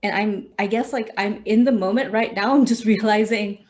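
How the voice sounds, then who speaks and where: Woman host from Malaysia, mid-pitched voice, hesitant and reflective, laughs often. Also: moderate pace, darkish tone. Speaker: podcast host. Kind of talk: personal conversation.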